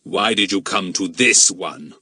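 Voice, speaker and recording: Storybook villain, performed by a male reader: menacing, bullying man, slow threatening delivery, close dry sound. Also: lowish voice, very animated, a bit bright, no echo, full character voice. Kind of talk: audiobook character voice.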